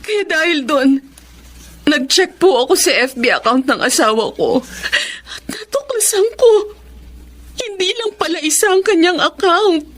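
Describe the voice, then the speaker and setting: A woman's voice: very high voice, expressive, unhurried, a bit bright, full character voice. A woman playing a betrayed, heartbroken wife and mother in a Filipino radio drama, with a Filipino accent and an emotional, pleading delivery. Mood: shaken.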